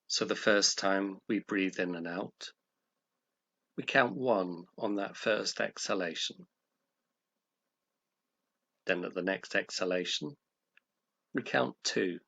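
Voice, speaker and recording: A man leading a guided meditation, speaking in a calm, gentle, measured way with pauses between phrases. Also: sits low, creaky.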